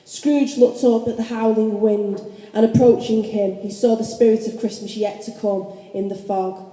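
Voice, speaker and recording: A woman's voice: mid-range voice, steady tone, normal speed, warm tone, close to an everyday voice. A woman playing the solemn narrator of a ghost story in a scripted radio play. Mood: ominous. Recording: distant echoey hall, faint noise.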